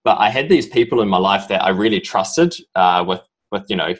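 A young man from New Zealand with a strong New Zealand accent, a podcast guest telling his story in a halting, rambling way full of ums. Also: low voice, expressive. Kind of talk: casual interview talk.

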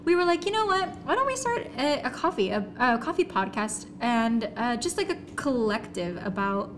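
Young american woman podcast host, chatty, kinda high voice, noticeable noise in the background. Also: very animated, normal speed. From San Francisco, United States. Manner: informal, chatty. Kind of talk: casual podcast chat.

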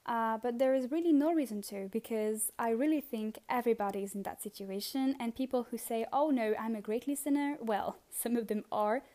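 Chatty French-accented woman, fairly high voice. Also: a little fast, few pauses, mellow. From France. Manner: chatty, repeats words, frequent um and uh fillers, light laughs. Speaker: podcast host. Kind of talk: casual solo podcast advice.

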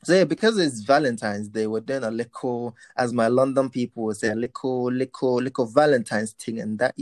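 A man's voice: medium pitch, brisk, darkish tone. A man co-hosting a casual chat, teasing playfully and switching between English and Igbo. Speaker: co-host. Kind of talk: casual banter.

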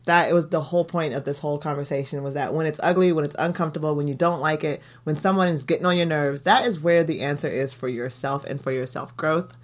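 A woman's voice: sits low, fairly even intonation, fast talker, hardly any pauses. Chatty podcast host woman. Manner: chatty, laughs often, reflective. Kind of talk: casual chat.

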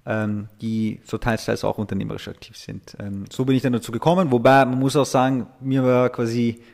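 Relaxed man, hesitant interview guest. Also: medium pitch, brisk, creaky. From Austria. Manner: hesitant, many filler sounds, laughs easily. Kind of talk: casual interview talk.

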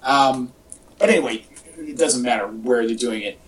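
A male podcast host chats casually at a middling pitch, rambling along with a wry, jokey streak. He sounds set back from the microphone, as in a homemade recording. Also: moderate pace. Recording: distant mic, echoey in parts.

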